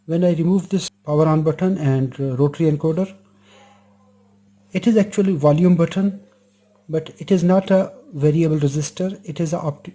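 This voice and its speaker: A man's voice: fairly high pitch, dark tone. A man hosting an electronics repair channel, walking through a repair step by step in short, simple sentences. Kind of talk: hands-on repair demonstration. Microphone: lapel mic.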